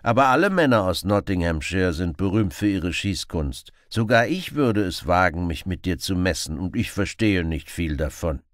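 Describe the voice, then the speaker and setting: A man voicing a sly heroic outlaw in an audiobook, composed and quietly provocative. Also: deep voice, animated, normal speed, hardly any pauses, no echo, clean studio sound. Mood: quietly provoking. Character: calm, sly heroic male outlaw, feigning innocence. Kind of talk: audiobook character acting.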